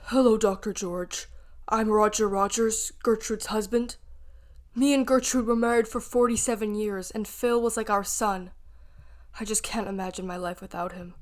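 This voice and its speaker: A woman's voice: mid-range voice, fairly even intonation, normal speed, darkish tone, natural voice. A young female student playing an old widowed father, doting and scornful, in a radio play. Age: teenager or young adult student.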